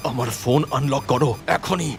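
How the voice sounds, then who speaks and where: A man's voice: medium pitch, moderate pace, music in the background, close to an everyday voice. A man playing a steadfast senior police inspector in a cartoon crime drama, firm and authoritative. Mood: defiant. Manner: firm, authoritative, plain-spoken. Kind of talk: animated crime drama acting.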